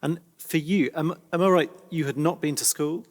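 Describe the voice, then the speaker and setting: A man's voice: a little high, fairly even intonation, moderate pace. Polite male interviewer, slightly raised voice, long church-hall echo. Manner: courteous, gently probing. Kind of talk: interview questions. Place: large church.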